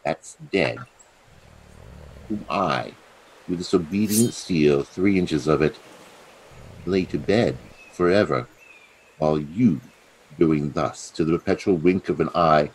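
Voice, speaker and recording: Husky, low scheming villain. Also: male voice, a little slow, a little mellow, poor audio. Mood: coldly plotting. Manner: theatrical, insinuating. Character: scheming, treacherous usurping duke, silkily persuasive. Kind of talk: Shakespearean radio play acting.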